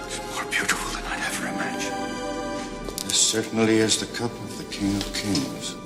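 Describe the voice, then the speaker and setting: A man playing a greedy villain seeking eternal life in a movie parody, dramatic, breathy and awed. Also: fairly low pitch, expressive, moderate pace. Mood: awed greed. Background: music.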